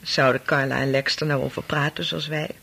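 An actress playing an anxious, probing wife in a Dutch radio play, husky and hesitant, repeating words as she presses her questions. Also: a bass voice, level tone, a little fast, a bit bright, full character voice. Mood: brooding. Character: anxious, probing wife of a doctor, brooding and insistent.

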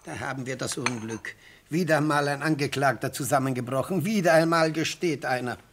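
An actor playing an elderly, theatrical defence lawyer in a radio play, speaking in rhetorical, declamatory phrases with savoured pauses and a husky voice. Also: male voice, mid-range voice, a little hiss or hum, natural voice. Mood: rueful, savouring. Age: older. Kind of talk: radio play courtroom oratory. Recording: vintage radio play recording.

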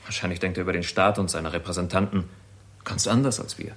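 A man's voice: low-pitched, fairly even intonation, quick pace, a bit bright. An actor playing a shrewd, composed and polite man in a 1960s German radio drama, articulate and analytical. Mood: thoughtful.

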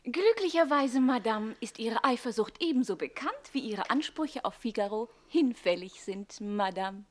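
An actress plays a pert young maid about to marry, in a theatrical radio comedy. Her voice is high and swoops expressively. She is quick-witted, saucy and icily polite. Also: animated, close to an everyday voice.

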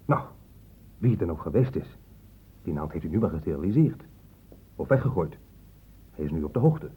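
Casting: brusque, sardonic police inspector, rough-edged lowish voice, radio drama. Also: male voice, big pitch swings, moderate pace, full character voice. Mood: sardonic, impatient. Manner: brusque, sarcastic, interrogating. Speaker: actor in a radio play. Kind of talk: Dutch radio drama acting. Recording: muffled phone-like old radio recording.

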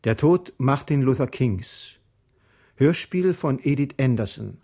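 A man reading formal radio play credits as an announcer, in a sober, measured manner. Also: mid-range voice, expressive, warm tone.

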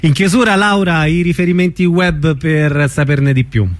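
A man's voice: a little high, steady tone, normal speed. A man hosting a radio interview, asking questions with some hesitation and brief interjections.

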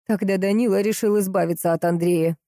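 Woman voicing a distraught, insistent grieving sister, mellow-toned. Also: medium pitch, steady tone, no echo, clean studio sound, natural voice. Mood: indignant. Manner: emphatic, impatient, drawn-out stresses. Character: distraught, insistent, hot-tempered grieving sister in a crime story.

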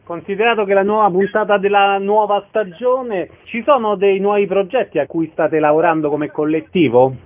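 A man's voice: high-pitched, moderate pace, hardly any pauses. A man acting as interviewer, putting questions in a casual radio-style interview. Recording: tinny narrowband phone-like sound.

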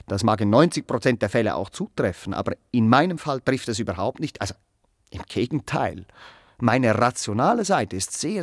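An actor playing a pedantic, self-important musician in a radio play, wordy and hesitant, setting things out with clinical precision. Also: male voice, medium pitch, no room sound, studio quality, close to an everyday voice. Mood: self-justifying. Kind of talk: naturalistic radio play dialogue.

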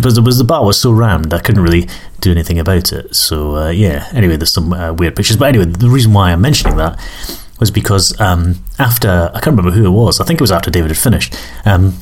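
A middle-aged man with a very low, deep voice and an accent that mixes northern English with Scottish. He chats in a loose, rambling way, with plenty of ums and restarts, poking gentle fun at himself between songs. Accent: English northern, mixed with Scottish. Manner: hesitant, full of fillers and restarts, self-deprecating. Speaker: podcast host. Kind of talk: rambling casual chat between music tracks.

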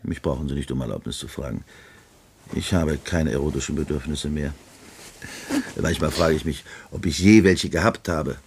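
Low-voiced middle-aged man, a dry, world-weary clerk in a seventies sci-fi radio drama, resigned and grumbling. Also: moderate pace, vocal fry, slight background noise. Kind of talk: 1970s German radio play acting.

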